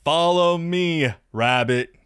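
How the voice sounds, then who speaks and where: A man voicing a mocking, menacing teenage school bully in a visual novel, with a taunting, drawn-out and forceful delivery. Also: a little high, clear, resonant tone, voice pushed up. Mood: threatening. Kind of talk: visual novel character voice-over.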